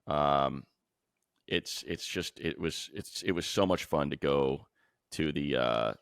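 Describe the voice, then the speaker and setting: A man's voice: extremely low pitch, slow, creaky, a bit bright, dry recording, clean studio sound. A man hosting a football show, telling stories in a casual, enthusiastic, colloquial way. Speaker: sports show host and football play-by-play announcer. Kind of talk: casual sports talk, animated storytelling.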